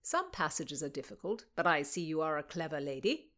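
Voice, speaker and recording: A woman's voice: sits low, big pitch swings, a little fast, a bit bright. A woman voicing a proud, teasing young nobleman who declaims love poetry with force. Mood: confident.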